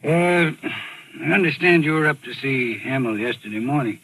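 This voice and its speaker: An actor playing a probing, informal police detective in a 1940s radio thriller. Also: male voice, mid-range voice, expressive, normal speed, fairly bright tone, husky, no room sound, poor audio. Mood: probing, wary. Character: probing male police detective, suspicious and casual. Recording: old radio broadcast recording.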